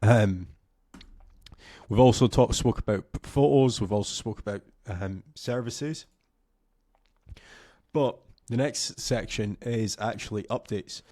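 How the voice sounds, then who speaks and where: A man's voice: on the low side, slow pace, pauses often, dark tone, no echo, studio quality. Young man with a cough. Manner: casual, rambling with many fillers. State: slight cough, losing voice. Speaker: SEO coach. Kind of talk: screen-share tutorial. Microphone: studio mic close up.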